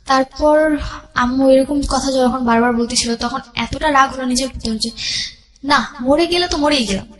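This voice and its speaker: A young woman telling a personal life story as a guest, haltingly, repeating herself and mixing in English words. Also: high voice, low-quality recording.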